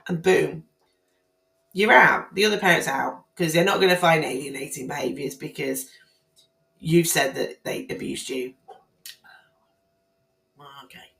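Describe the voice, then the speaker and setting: A woman with a croaky, hoarse voice, speaking casually and emphatically to her viewers about family court matters, often repeating herself and restarting phrases. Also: sits low, measured pace, long pauses, a bit bright. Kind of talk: casual spoken commentary on guidance to camera. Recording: roomy echo.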